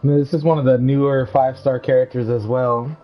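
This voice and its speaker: A man's voice: mid-range voice, fairly even intonation, a little fast, muffled recording, a little hiss or hum. A man streaming a game with casual, informal live commentary, repeating himself as he goes.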